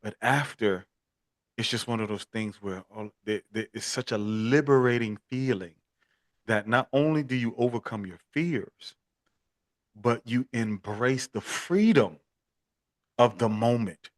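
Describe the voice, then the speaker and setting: A man's voice: mid-range voice, a little slow, dry recording, clean studio sound. A man from Miami speaking as a podcast guest on equine therapy, earnest and prone to repeating words as he starts a thought. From Miami, Florida. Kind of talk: casual interview talk.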